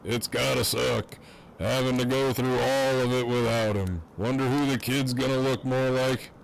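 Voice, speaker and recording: A man's voice: medium pitch, fairly even intonation, moderate pace, vocal fry, a little hiss or hum, close to an everyday voice, poor audio. A man playing a cocky, crude prisoner who teases in wry, swaggering banter in an audio drama. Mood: wry, casual. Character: cocky, crude, teasing imprisoned man on a walkie-talkie. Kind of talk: fan audio drama acting.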